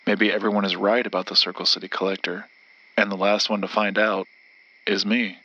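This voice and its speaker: A man's voice: fairly low pitch, level tone, brisk, sharp tone, lo-fi sound. A man playing a brooding, determined, disgraced story collector turned monster hunter in an audio drama, speaking tersely and intensely. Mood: wounded, doubting.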